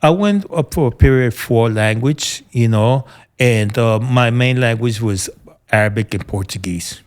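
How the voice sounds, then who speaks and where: A man's voice: mid-range voice, measured pace. Earnest middle-aged New York man. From United States. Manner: earnest, searching for words with fillers and restarts. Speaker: military veteran guest. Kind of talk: conversational interview.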